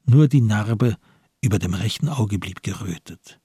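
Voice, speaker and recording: A man narrating a radio drama from a script in a measured, grave manner with sparse phrasing. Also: fairly low pitch, animated, mellow, slightly rough, no echo, clean studio sound.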